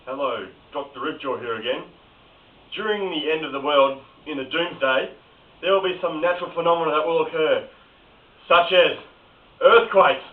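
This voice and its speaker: A man plays a blunt, offhand mock doomsday doctor with a fairly high voice. The homemade comedy recording is echoey and phone-thin. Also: moderate pace, natural voice. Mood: solemn. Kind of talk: amateur mock lecture and Q&A. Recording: roomy, distant, tinny, hissy home recording.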